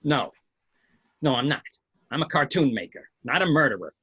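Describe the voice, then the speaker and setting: A man's voice: mid-range voice, sing-song, normal speed, sharp tone, breathy edge, dry recording, muffled recording, heavily acted. A man playing a nervous cartoon studio boss who pleads urgently in an amateur script read.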